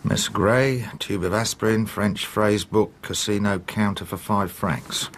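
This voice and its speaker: A man acts out a blunt British chief inspector with an English accent and a fairly low voice. He is matter-of-fact and dryly joking, in radio drama style, over light background noise. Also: fairly even intonation, measured pace, lightly acted. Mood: businesslike. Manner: matter-of-fact, wry, colloquial.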